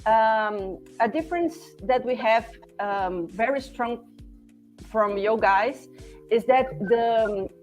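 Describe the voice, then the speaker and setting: A Brazilian woman in her forties speaks English with a Portuguese accent, her voice pitched a bit high. She talks very slowly, stopping and restarting as she hunts for the right English words. Faint music sits in the background. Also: darkish tone. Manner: halting, searching for English words, many restarts. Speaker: podcast guest, former classmate. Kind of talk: casual conversation.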